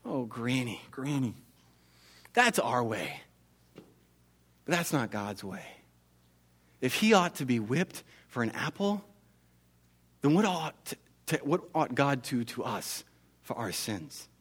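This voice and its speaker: A man voicing a kindly old shoemaker who speaks gently and quietly. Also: mid-range voice, sing-song, a little slow, long pauses, husky. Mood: gentle.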